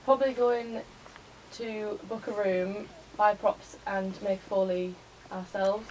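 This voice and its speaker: Young female student, medium pitch, casual and tentative presenter, away from the mic with light noise. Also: steady tone, slow, a little mellow. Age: young adult. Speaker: college student presenting a project. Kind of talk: informal class presentation. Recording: distant mic, faint room noise.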